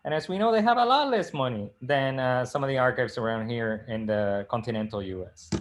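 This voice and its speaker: A middle-aged man from the Dominican Republic, co-hosting a casual video-call discussion, speaking haltingly with many fillers and restarts. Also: mid-range voice, animated. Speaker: librarian and co-host. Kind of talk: casual live-stream discussion.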